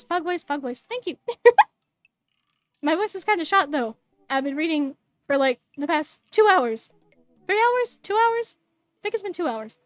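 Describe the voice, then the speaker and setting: Bubbly high-voiced woman streamer, a bit hoarse. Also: no room sound. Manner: bubbly, chatty, stumbles over words. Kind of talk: live stream, reading game text and greeting chat.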